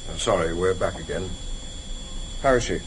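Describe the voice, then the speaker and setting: An actor playing a firm, courteous intelligence official in a British radio drama, formal and businesslike. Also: male voice, low voice, normal speed, close to an everyday voice. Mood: cool, brisk. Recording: hissy vintage radio recording.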